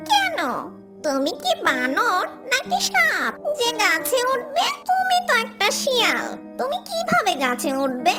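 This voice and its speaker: A woman's voice: very high voice, voice pushed up, full character voice. A woman voicing a small, defiant mother tailorbird in a children's fairy-tale cartoon. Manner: defiant, taunting, indignant. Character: tiny defiant mother tailorbird guarding her chicks. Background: loud children's music.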